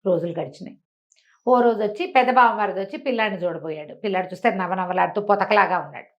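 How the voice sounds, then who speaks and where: A woman's voice: medium pitch, quick pace, dull audio. A woman telling a folk tale aloud in a conversational, oral storytelling style.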